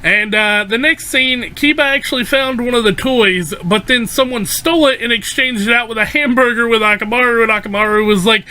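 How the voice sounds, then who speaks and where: A man with a high, bright voice talks casually and loosely, full of fillers and restarts. His voice is wearing hoarse as he chats through an anime episode. The tone is light and sharp, pitched above most men. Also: a little fast, hardly any pauses, low-quality recording. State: losing his voice. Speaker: anime reviewer. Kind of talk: casual spoken episode review.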